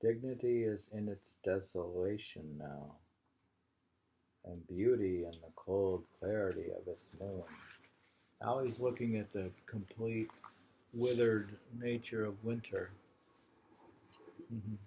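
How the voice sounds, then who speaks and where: A man reads poems and muses over them informally, halting as he thinks aloud. His delivery is very slow, in a mellow voice with a husky, creaky grain. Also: fairly low pitch, slight background noise, low-quality recording. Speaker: reader and commentator of poetry. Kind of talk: poetry reading with informal commentary.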